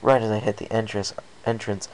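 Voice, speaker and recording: A man with a low, creaky voice reading a scripted first-person adventure story as narrator. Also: steady tone, brisk, a little mellow, low-quality recording. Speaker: amateur story reader. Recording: faint background hiss.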